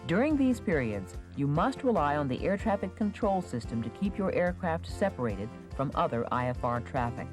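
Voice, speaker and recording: A narrator reading a scripted instructional video in a measured, clearly enunciated manner. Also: medium pitch, very animated, music in the background.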